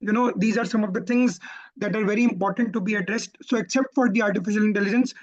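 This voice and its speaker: A man's voice: high-pitched, steady tone, fast. Man, Pakistani accent, webinar tutor, explanatory, self-correcting, dull lo-fi sound. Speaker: IELTS tutor. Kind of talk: webinar teaching and answering questions. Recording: dull lo-fi online call audio.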